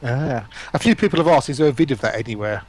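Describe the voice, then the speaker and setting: A man's voice: medium pitch, lively intonation, fast talker, darkish tone. A man chatting casually on a gaming stream. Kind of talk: casual chat.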